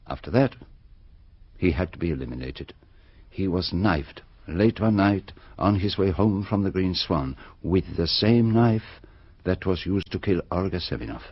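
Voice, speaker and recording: A self-assured Belgian detective in a radio drama. His voice is low and breathy, with a Belgian French accent and deliberate phrasing. The recording is dry and somewhat dull. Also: no echo. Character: assured, methodical Belgian male detective explaining the crime.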